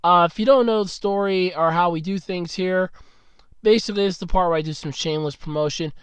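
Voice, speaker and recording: A man's voice: high voice, brisk, a bit bright, clear, resonant tone, no echo. A man hosting a casual solo podcast while sick and losing his voice, talking frankly and grumbling, very close to the microphone. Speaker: podcast host. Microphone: very close mic, about two inches away.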